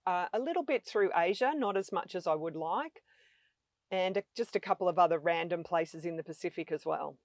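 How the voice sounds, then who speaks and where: Australian woman, 50s, lowish voice, chatty guest storyteller. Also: brisk, no room sound, studio quality. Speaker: travel vlogger guest. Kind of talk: casual conversation.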